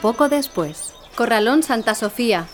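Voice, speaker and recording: Una mujer interpretando a la narradora que anuncia las escenas de una ficción sonora. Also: female voice, on the high side, expressive, normal speed, natural voice. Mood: announcing. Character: female narrator announcing scene changes. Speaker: scene announcer. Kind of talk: audio drama narration. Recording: loud music and crowd behind.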